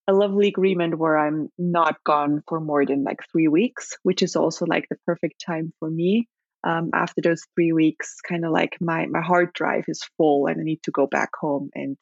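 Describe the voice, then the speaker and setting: Austrian woman in her 30s, low gravelly husky voice, chatty, over a dull dry call line. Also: steady tone, normal speed, no room sound. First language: German. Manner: chatty, thoughtful, many restarts and fillers. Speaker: podcast guest, travel blogger. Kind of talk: casual interview chat.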